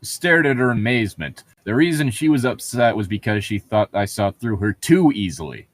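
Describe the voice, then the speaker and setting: Very deep-voiced sarcastic man. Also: expressive, normal speed, dry recording. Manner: sarcastic, crude, irreverent asides. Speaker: co-host of a comedic read-along. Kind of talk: reading a novel aloud with casual mocking commentary.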